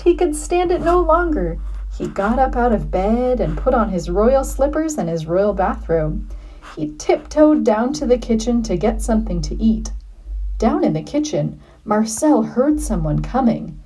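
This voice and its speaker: A woman reading a children's story like a teacher, with expressive, deliberate phrasing. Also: on the high side, a little hiss or hum, poor audio.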